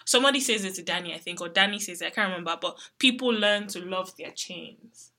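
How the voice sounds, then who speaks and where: A woman's voice: lowish voice, quick pace, bright, forceful tone. A young woman from Nigeria talking casually and thoughtfully in a panel discussion.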